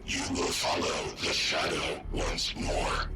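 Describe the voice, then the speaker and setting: An actor playing an ancient, malevolent war-machine intelligence in a video game, with a synthetic booming voice and terse, imperious declarations. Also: male voice, little pitch movement, slow. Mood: commanding, menacing. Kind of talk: scripted video game acting. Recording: loud music and rumble behind.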